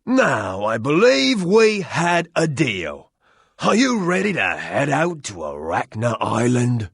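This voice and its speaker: A man's voice: mid-range voice, huge pitch swings, slow pace, creaky, fairly bright tone, slightly rough, no room sound, studio quality, full character voice. A man voicing a theatrical, cowardly comic sidekick adventurer in a video game, hammy and dramatic. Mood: jaunty. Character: jolly, theatrical, cowardly male adventurer playing ship's captain.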